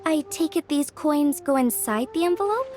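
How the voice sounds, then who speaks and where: A scholarly young woman in a video game. Her high voice is courteous and formal, with an eager streak. Soft music plays faintly underneath. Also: lively intonation, moderate pace. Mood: curious.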